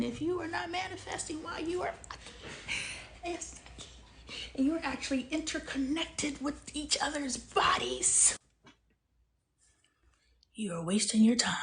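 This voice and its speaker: A woman's voice: high-pitched, darkish tone, slight background noise. A woman from El Paso, Texas, giving a casual spiritual commentary monologue. She talks passionately, asks rhetorical questions, and sometimes drops to a near-whisper. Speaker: vlogger.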